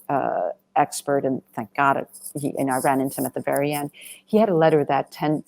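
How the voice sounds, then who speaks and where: A woman with a low voice speaks as a documentary director in a Q&A. Her delivery is conversational and rambling, leaning on "you know" and stressing her points as she goes. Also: vocal fry, a little mellow. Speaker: documentary director being interviewed. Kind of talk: conversational Q&A answers.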